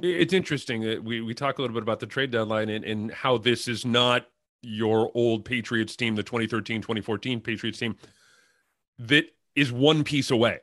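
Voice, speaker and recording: A man's voice: medium pitch, moderate pace, a bit bright, no room sound. A man hosting a sports podcast in a conversational way, inquisitive and thinking aloud as he builds up questions. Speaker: podcast host.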